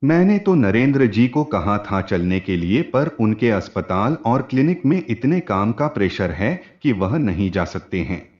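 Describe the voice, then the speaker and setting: A man's voice: lowish voice, big pitch swings, measured pace, few pauses. A man voicing an anxious, pleading young wife and mother in a family story. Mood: worried, pleading. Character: worried young wife and mother entrusting her home. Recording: dull, muffled lo-fi audio.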